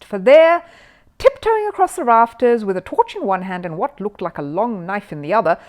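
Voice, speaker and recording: Animated woman reading a children's story. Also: mid-range voice. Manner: animated, dramatic phrasing with suspenseful pauses and emphasis. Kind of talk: expressive scripted storybook narration.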